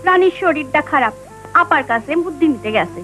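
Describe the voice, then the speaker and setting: A woman with a Bangladeshi accent voicing a caring but sharp-tongued village mother in a children's cartoon. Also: high voice, animated, a little fast, soft background music. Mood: worried.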